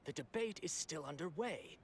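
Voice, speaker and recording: A man's voice: a little high, animated, normal speed. A man playing an officious, impatient scholarly debater in a game, formal and brusque. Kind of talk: scripted game dialogue acting. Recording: faint background noise.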